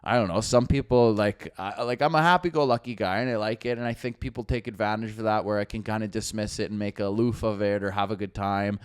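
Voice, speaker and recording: A man's voice: lowish voice, fairly even intonation, fast talker, few pauses, smooth, no room sound, studio quality. A man with a Canadian accent from Alberta, co-hosting a podcast and telling stories in a rambling, laid-back way with plenty of swearing. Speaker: podcast co-host. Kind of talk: casual storytelling chat.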